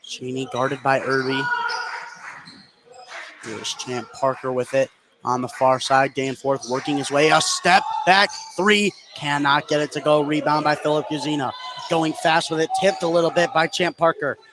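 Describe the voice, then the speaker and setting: A man's voice: a little high, fairly even intonation, moderate pace, background noise. A man giving live play-by-play commentary on a basketball game for radio.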